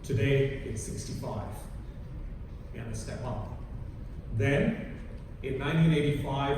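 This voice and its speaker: A middle-aged man from Kenya gives a conference talk, stumbling over restarts and fillers. He is picked up from far off in a large hall with a long, ringing reverb. A steady background noise hums beneath his voice. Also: medium pitch. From born in India, grew up in Kenya. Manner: halting, many restarts and fillers. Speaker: conference speaker. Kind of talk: prepared talk to an audience.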